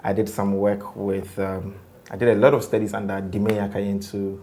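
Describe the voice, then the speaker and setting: Man in his interview chair, a lowish voice, unhurried and thoughtful, lots of "um" and "like", faint hiss under him. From Ghana. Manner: relaxed, reflective, with frequent fillers like "um" and "like". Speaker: guest, tech company co-founder. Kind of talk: casual interview conversation.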